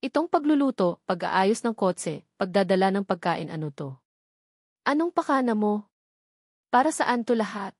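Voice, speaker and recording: A woman's voice: medium pitch, very animated, normal speed, no echo. Woman acting guarded, bitter young heiress, dry close sound. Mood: exasperated, confused. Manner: exasperated, confrontational questioning.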